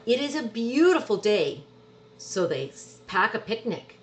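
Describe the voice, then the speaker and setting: A woman's voice: a little high, slight background noise. A woman reading a children's storybook aloud expressively, drawing out words for emphasis. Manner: animated, drawn-out words for emphasis. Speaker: storybook reader. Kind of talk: expressive children's picture book read-aloud.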